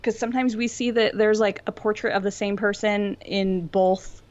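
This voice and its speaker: A woman's voice: mid-range voice, steady tone, moderate pace, darkish tone. A dull, lo-fi recording of a woman with a mid-range voice. She talks casually, like a podcast co-host breaking down a fan theory. Her phrasing is informal and explanatory, full of "like". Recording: dull lo-fi audio.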